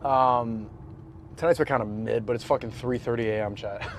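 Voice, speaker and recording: A man's voice: mid-range voice, fairly even intonation, normal speed, slight background noise. A man from New York livestreaming on city streets at night, chatting loosely with his viewers and with strangers he meets. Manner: loose, slangy, talks to chat and strangers. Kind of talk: casual street livestream chat.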